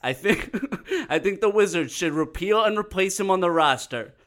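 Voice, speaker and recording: A man's voice: high voice, expressive, normal speed, a bit bright. A man co-hosting a podcast in casual, teasing, joking banter. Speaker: podcast co-host.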